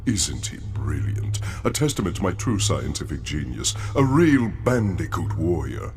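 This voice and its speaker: A pompous mad scientist from a video game, fairly low and very animated. He sneers theatrically and is thoroughly pleased with himself, over music. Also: normal speed. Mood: smug gloating. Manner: theatrical, sneering, self-satisfied. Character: pompous, scheming male mad scientist villain. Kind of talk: video game cutscene acting.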